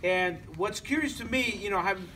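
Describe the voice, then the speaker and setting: A middle-aged man from Argentina with an Argentine accent, giving a relaxed, joking lecture to an audience and often restarting his phrases. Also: high-pitched, brisk, slight background noise, low-quality recording. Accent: Argentine Spanish. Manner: conversational, joking, many restarts. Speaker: musician lecturer.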